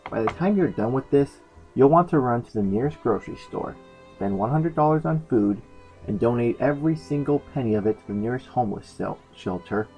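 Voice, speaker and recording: A man's voice: medium pitch, darkish tone, poor audio. A man hosting a fan fiction review, narrating in a chatty, opinionated way with enthusiastic, casual comic asides. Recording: music behind the voice. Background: background music.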